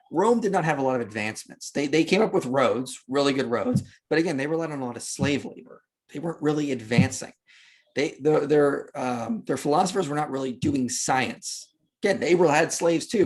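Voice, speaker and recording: A man's voice: mid-range voice, husky. A man speaking as a podcast guest, explaining and debunking informally in emphatic, punchy phrases.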